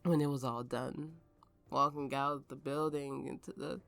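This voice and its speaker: Haunted, tipsy swordswoman played by an actress, ASMR roleplay storyteller; slow, creaky delivery, bitter and grieving, dramatic pauses. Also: extremely low pitch, fairly even intonation, a bit bright, soft background music, heavily acted. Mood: cold, vengeful. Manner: halting, slow, dramatic pauses, dropping toward whispers.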